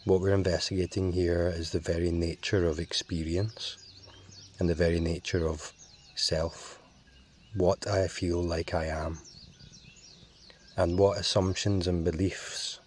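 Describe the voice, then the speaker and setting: Slow, low-voiced man guiding meditation. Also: slight background noise. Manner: calm, measured, with long pauses. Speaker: meditation guide.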